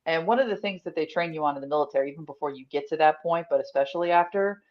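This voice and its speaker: A woman with a fairly deep voice, chatting casually as a guest about working event security. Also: rapid-fire. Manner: wry, chatty storyteller. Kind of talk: casual chat. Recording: dull, lo-fi audio.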